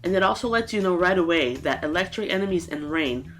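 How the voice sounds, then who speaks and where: A woman's voice: on the low side, animated, brisk, faint music behind, rough recording. A woman with a nasal, congested voice from a cold, giving an earnest, scripted game ranking. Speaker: gaming YouTuber.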